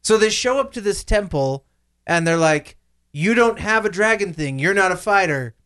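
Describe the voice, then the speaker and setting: A man's voice: high voice, lively intonation, moderate pace, fairly bright tone, smooth. A man on a podcast panel, chatting casually in a colloquial, incredulous, griping way. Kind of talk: casual chat.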